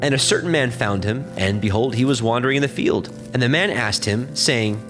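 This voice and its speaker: A man narrating scripture as a scripted reading, in a measured, solemn storytelling manner. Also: mid-range voice, over music.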